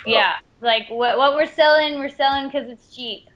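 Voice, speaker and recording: A woman's voice: sits high, moderate pace. A woman from Canada, a voice actress guest, chatting casually in an interview with a rambling, self-deprecating manner over an online call. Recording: muffled lo-fi call audio.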